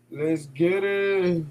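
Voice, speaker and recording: A man's voice: a little high, darkish tone, a little hiss or hum. A man hosting a live stream, casually reading chat comments, slangy and swearing, laughing often. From San Francisco Bay Area.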